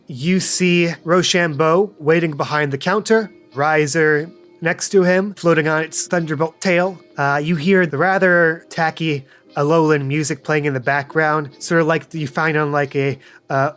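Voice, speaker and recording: Higher-voiced male game master over music. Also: fairly even intonation, normal speed, few pauses, fairly bright tone. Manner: descriptive second-person narration. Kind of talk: narrating a tabletop roleplay game.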